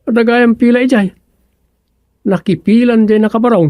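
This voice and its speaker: A man's voice: very high-pitched, fairly even intonation, moderate pace, a little mellow, dry recording, clean studio sound. An actor playing an earnest younger man in a comedic radio drama, explaining things insistently to his old father.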